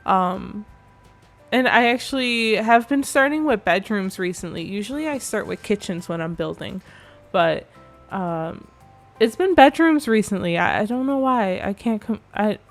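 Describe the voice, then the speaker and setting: A young woman chats casually over her game build, her voice a touch nasal and stuffy. She rambles, second-guesses herself and circles back, at an ordinary middle pitch. Quiet music plays faintly behind her. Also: animated, a little slow. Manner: chatty, rambling, self-correcting. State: slightly nasal from allergies.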